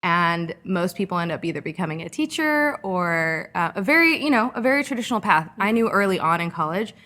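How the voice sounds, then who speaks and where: Reflective woman from California, lowish voice. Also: a little fast. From California, Orange County. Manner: reflective, lively when telling anecdotes, frequent "um" and "like". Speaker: guest entrepreneur. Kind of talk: conversational podcast interview.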